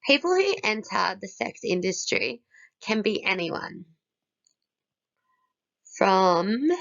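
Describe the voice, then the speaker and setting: Female student presenter, hoarse from a cold, heavy vocal fry, animated delivery, steady list reading. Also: medium pitch. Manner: steady, listing one item after another. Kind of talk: read-aloud presentation. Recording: dull lo-fi recording.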